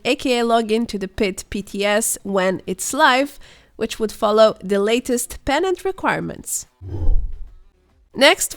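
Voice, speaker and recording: A young woman narrates gaming news over her footage. Her voice sits a little high but sounds hoarse and worn, as if she is unwell. She speaks in a measured way, explaining and speculating as she goes. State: hoarse, voice weakened by illness. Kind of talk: scripted voice-over commentary.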